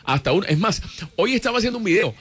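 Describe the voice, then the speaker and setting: A man, a radio host in casual, animated banter who exclaims and teases. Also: high voice, very fast, breathy edge. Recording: music bed behind.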